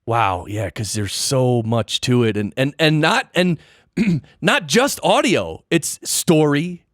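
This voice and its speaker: A man's voice: mid-range voice, lively intonation, slow, a bit bright, no room sound. A man hosting a podcast interview in casual conversation, explaining things informally and checking with questions, close on a studio microphone. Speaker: podcast host interviewing a guest. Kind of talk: casual interview chat about audio gear and production.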